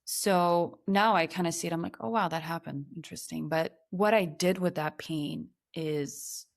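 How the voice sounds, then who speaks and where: Woman from Ukraine, podcast guest, low-leaning voice, earnest, reflective, slows on heavy moments. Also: creaky. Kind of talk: personal storytelling in conversation.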